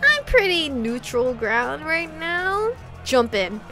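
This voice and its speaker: High-pitched expressive woman gamer. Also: takes it slowly, music in the background. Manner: expressive, reactive, swears casually. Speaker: host of a visual novel playthrough. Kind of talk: reading game text aloud with casual reactions.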